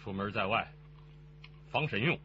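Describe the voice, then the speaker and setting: An actor playing a menacing, suspicious fugitive criminal in a radio crime drama, curt and stubborn. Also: male voice, fairly low pitch, a little slow, lo-fi sound, background noise, natural voice. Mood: curt, wary.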